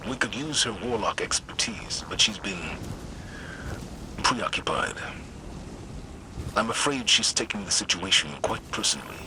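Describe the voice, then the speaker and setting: An actor playing a grave, dutiful middle-aged military commander in a video game, speaking in a measured, formal, authoritative way. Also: male voice, fairly low pitch, expressive, noisy background. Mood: uneasy, sombre. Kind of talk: scripted video game briefing.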